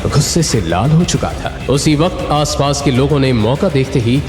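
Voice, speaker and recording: A man's voice: medium pitch, animated, normal speed. A man giving dramatic scripted narration for an audio story. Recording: roomy echo with long reverb. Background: music.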